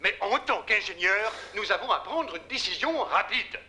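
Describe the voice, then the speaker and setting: A man's voice: high voice, expressive, normal speed, pushed and bright, slight background noise, heavily acted. A man acting an impatient, combative space programme manager in a dubbed docudrama, arguing with sarcasm and pressing his point. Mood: firm. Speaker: meeting official challenging engineers.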